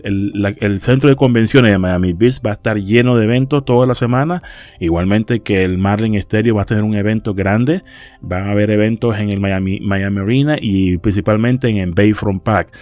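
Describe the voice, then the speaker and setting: A man's voice: on the low side, a little mellow, no echo. A man hosting a casual vlog, chatty and friendly, calling his viewers friends and often restarting phrases. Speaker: YouTube host giving rideshare and delivery tips. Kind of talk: casual informative vlog talk over stock footage. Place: lives in South Florida.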